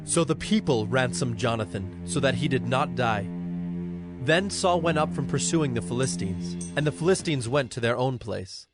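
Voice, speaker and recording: A man's voice: mid-range voice, expressive, normal speed, over loud music. A man narrating a dramatized audio Bible in a formal storytelling style.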